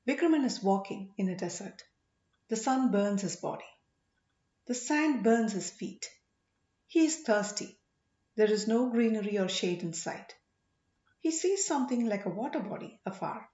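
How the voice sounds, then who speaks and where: A woman narrating an audiobook story dramatically, in measured short phrases with emphasis. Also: medium pitch, expressive, mellow. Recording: echoey room, slightly muffled sound.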